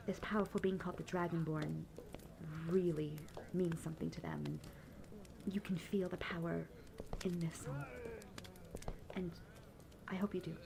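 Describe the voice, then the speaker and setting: Girl playing a young wandering bard lady telling fond tales, kinda low n crackly, background noise going, fantasy audio rp. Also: creaky. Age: young adult. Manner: earnest, fond, unhurried storytelling. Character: peppy young traveling bard woman, wistful storyteller. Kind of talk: fantasy audio roleplay, in-character storytelling.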